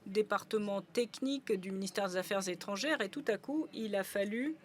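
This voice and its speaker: A woman's voice: medium pitch, normal speed. Woman interpreting with frequent hesitations. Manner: steady relay with frequent hesitations. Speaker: conference interpreter. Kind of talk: simultaneous interpretation of a panel.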